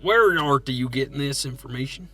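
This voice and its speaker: A man with a rural American accent co-hosting a comedy podcast, bantering in a folksy, excitable, argumentative way. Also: medium pitch, fast talker. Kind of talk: comic banter.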